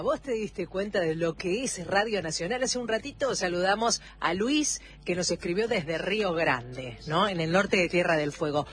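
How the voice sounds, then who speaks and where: An Argentine woman hosts a late-night radio show in a low-pitched voice. She speaks intimately and effusively to listeners, repeating words for emphasis, over faint music. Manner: affectionate, effusive, with emphatic repetition. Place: radio studio.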